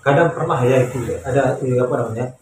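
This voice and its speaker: A man with an Indonesian accent talks casually and emphatically, as if to friends watching his vlog. His voice sits at an average pitch, and he often repeats himself in colloquial phrasing. The recording is echoey, with a little background noise. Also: fast talker, darkish tone. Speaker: vlogger, Indonesian migrant worker in Malaysia. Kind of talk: casual chat to viewers. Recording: echoey room with faint noise.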